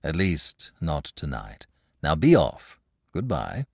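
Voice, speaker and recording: Storybook gentleman, played by the reader, a man: clipped, kindly, firm, gravelly, dry sound. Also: rumbling low, huge pitch swings, dry recording, pitched below the performer's own voice, exaggerated voice. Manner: brisk, clipped. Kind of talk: storybook character voice.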